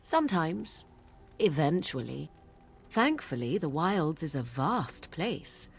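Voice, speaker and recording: A woman's voice: low-pitched, very animated, slow pace, vocal fry, slightly rough, faint music behind, heavily acted. A woman playing an aloof, sardonic young witch of the wilds in a video game, with archaic, formal and haughty phrasing. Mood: musing, sly. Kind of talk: video game character acting.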